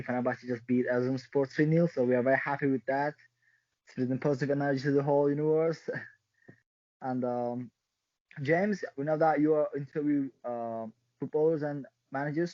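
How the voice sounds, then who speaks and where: A man's voice: mid-range voice, steady tone, normal speed, dark tone, no echo, highs cut off. A man hosting a podcast in a friendly way, asking long rambling questions. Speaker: podcast host.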